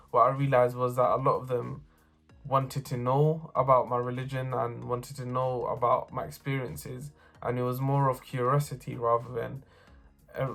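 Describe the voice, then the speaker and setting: A young man, a student giving a plain, earnest personal account with short pauses. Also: mid-range voice, vocal fry, a bit bright. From North London. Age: young adult. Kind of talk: personal testimony to camera.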